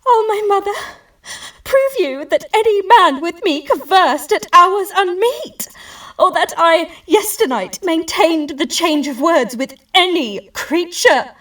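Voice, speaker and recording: A woman's voice: lively intonation, slow, fairly bright tone, full character voice. A woman playing a wronged young maiden in a Shakespearean radio play, tearful and desperate as she pleads her innocence. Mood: tearful pleading. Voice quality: tearful, high voice. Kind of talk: Shakespearean radio drama acting. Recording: home recording, slightly distant mic.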